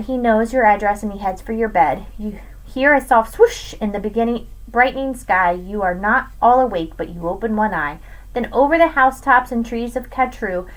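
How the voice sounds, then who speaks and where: A woman with a fairly high voice reads a children's picture book aloud like a teacher to her class. Her delivery is expressive and lively, with pitch that moves up and down a lot. She addresses young listeners directly. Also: rough recording. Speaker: teacher reading to students. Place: small home room with bookshelves. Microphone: webcam at desk distance.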